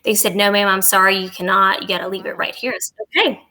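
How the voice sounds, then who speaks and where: A woman with a bright, forward voice at an average pitch talks casually as a guest on a travel podcast. She is chatty and agreeable, stressing her points as she shares her review. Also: flat delivery, fast talker.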